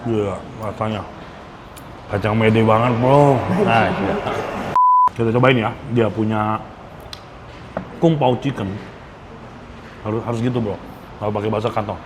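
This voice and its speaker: A man's voice: on the low side, fairly even intonation, unhurried, pauses often. A man with a Jakarta Indonesian accent gives a casual, enthusiastic food review, speaking slangily and mixing in English phrases. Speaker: food vlogger. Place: restaurant. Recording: clear background noise, restaurant ambience.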